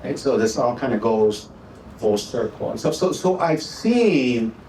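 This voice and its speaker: A man's voice: medium pitch, expressive, mellow, slight background noise. Middle-aged Minnesota-accented man, distant and echoey. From Texas, raised in Minnesota. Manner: friendly and halting, frequent "uh" and "right?". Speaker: event speaker presenting an award. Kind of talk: casual speech to an audience. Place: event hall. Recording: echoey room, voice from far off.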